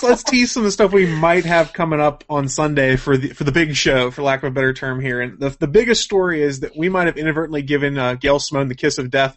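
A man's voice: fairly high pitch, fairly bright tone. A man hosting a casual news chat show, talking in a jokey, informal way. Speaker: show host.

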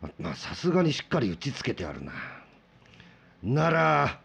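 A man playing a hungry, boastful, blustering wolf with rough, cocky speech in a children's radio drama. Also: mid-range voice, huge pitch swings, normal speed, fairly bright tone, full character voice. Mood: baffled, frustrated.

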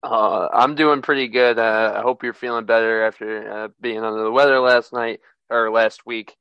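A young man hosting a sports podcast, talking in a relaxed way with lots of "uh" and "like". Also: medium pitch, moderate pace, clean tone, no room sound, muffled recording. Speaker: podcast host.

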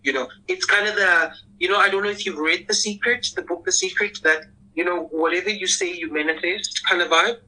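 A man with a squeaky voice, hosting a casual podcast panel in an earnest, reflective way and often repeating words as he starts. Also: fairly even intonation, a little fast, pushed and bright, rough recording.

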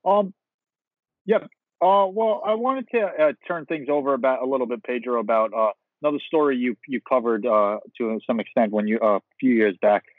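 Male podcast host, halting questioner, thin phone-quality audio. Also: medium pitch, dry recording. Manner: halting, many fillers and restarts. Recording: tinny phone-like line.

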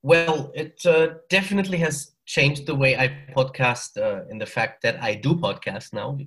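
A man in his forties speaks English with a German accent, his voice sitting at a middle pitch. He is a podcast guest who explains things in a calm, thoughtful way and sometimes restarts his sentences. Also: normal speed. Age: 40s. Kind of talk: casual interview chat. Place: hot room at home.

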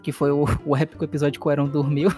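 A man's voice: fairly high pitch, completely flat delivery, very fast, mellow, soft background music. A man with a Brazilian accent chatting casually as a player and co-host on a role-playing podcast.

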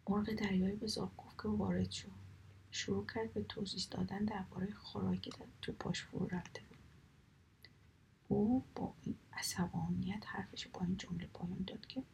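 A woman's voice: mid-range voice, gravelly, slight background noise, poor audio. Woman whispering a bedtime tale. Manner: soft steady whisper. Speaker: storyteller. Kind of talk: whispered bedtime story, ASMR.

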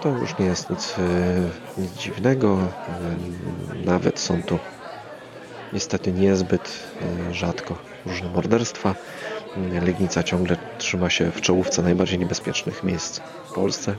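Male podcast host, deep voice with vocal fry, loose rambling chat, street-like murmur around him. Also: flat delivery, slow pace, music in the background, crowd noise. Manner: hesitant, rambling, thinking aloud. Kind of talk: casual solo podcast chat.

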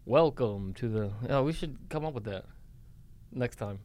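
A man's voice: mid-range voice, expressive, unhurried, a little mellow. A man from Alabama co-hosting a casual podcast, joking around, quoting movie lines and laughing easily. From Huntsville, Alabama, US. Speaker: podcast co-host. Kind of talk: casual banter.

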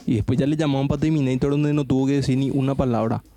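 A man's voice: mid-range voice, fairly even intonation, rapid-fire, mellow, soft background music. A man hosting a casual podcast chat with friends, telling a long story, repeating himself and using slang. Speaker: podcast host.

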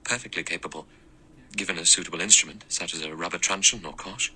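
A man voicing a self-important middle-aged doctor who speaks calmly and matter-of-factly. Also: lowish voice. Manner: calm, quick, matter-of-fact.

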